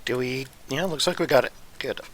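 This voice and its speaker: A man's voice: mid-range voice, a bit bright, a little hiss or hum. A man giving casual gameplay commentary, muttering to himself as he plays, with a phlegmy, congested voice. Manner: muttering to himself while playing, loose and unhurried. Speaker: gaming YouTuber.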